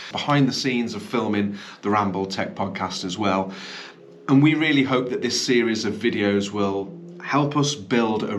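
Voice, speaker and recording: A man's voice: fairly low pitch, fairly bright tone, a little hiss or hum. Chatty man with a cough. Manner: chatty and upbeat, with ums and self-corrections. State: slight cough. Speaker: business owner and channel host. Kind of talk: casual vlog to camera. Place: small indoor studio room.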